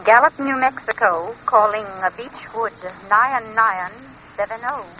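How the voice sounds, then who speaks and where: An actress playing an impersonal long-distance telephone operator in 1940s radio drama style, formal and measured. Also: female voice, fairly high pitch, pushed and bright. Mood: detached, polite. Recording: old radio, faint noise, telephone sound.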